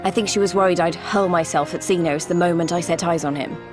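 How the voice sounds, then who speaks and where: A woman acts out a caring, hot-blooded warrior in a fantasy game. Her voice is a little low and full of earnest feeling, with music clearly audible behind it. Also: fairly even intonation, fast talker, lightly acted. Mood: apologetic. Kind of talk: scripted video game voice acting.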